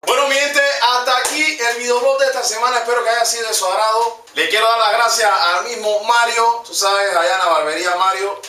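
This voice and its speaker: Brief: twenties Panamanian male vlogger, bright high voice, rambling and jokey, recorded from a distant camera. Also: steady tone, brisk, few pauses. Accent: Panamanian Spanish. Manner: slangy, joking, rambling. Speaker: vlog host. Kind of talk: casual comedic vlog narration. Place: small room with computer desk. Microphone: camera mic from a distance. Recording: echoey room, distant mic, faint noise.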